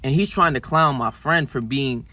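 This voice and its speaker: A man in his twenties telling a story as a casual rant, animated and profane, full of slang and fillers. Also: mid-range voice, moderate pace. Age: 20s. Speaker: vlogger.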